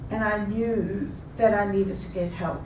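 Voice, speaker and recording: Slow, measured woman in an echoey room. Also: medium pitch, steady tone, background noise. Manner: measured, deliberate delivery. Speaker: speaker. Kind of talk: rehearsed personal story. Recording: echoey room, taken from a distance.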